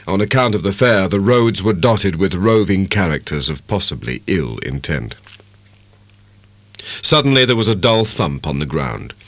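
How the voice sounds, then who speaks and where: A man narrates a classic novel in a measured, dramatic way. His intonation swings expressively over a middling-low pitch, and the sound is dry and close. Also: fairly bright tone, dry recording. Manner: measured, literary storytelling. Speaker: audiobook narrator.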